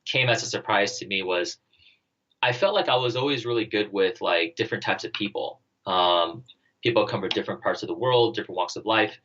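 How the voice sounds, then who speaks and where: A man answering interview questions conversationally, feeling his way haltingly through his thoughts with many "like"s and "you know"s. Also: on the low side, a bit bright, lo-fi sound. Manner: halting, thinking aloud, heavy use of "like" and "you know". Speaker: interview guest, startup co-founder and former army officer.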